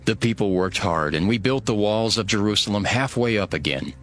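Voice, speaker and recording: A man's voice: fairly low pitch, expressive, fairly quick, a bit bright, faint music behind. A man playing a resolute, devout leader narrating dramatized scripture in an earnest, declamatory way. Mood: measured, resolute. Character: Nehemiah, resolute devout male leader rallying his people.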